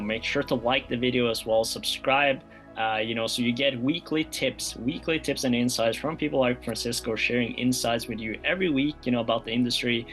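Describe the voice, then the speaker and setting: A mid-pitched male host wrapping up a podcast on a video call. He talks in an upbeat, loose way, with plenty of "you know" fillers. Faint music sits behind his voice. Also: a bit bright, low-quality recording. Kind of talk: podcast interview.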